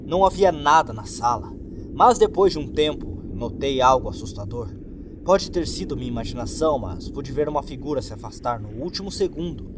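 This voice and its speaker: Male Brazilian horror narrator, mid-pitched, animated intonation, scripted first-person storytelling. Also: background noise. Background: background music.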